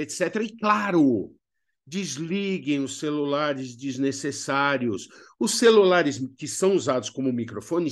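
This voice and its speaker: A man's voice: on the high side, a bit bright. A man with a Brazilian accent narrating a video lesson as a workshop instructor, didactic with conversational asides to the learner. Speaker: instructor of a radio drama workshop.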